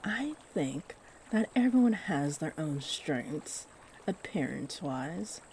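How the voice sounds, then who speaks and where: A woman voicing a chatty, kindly shapeshifting fairy, nearly human-sized, gentle and expressive, in a scripted roleplay spoken to the listener. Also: low-pitched, takes it slowly, slight background noise, full character voice, poor audio. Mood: gentle, encouraging.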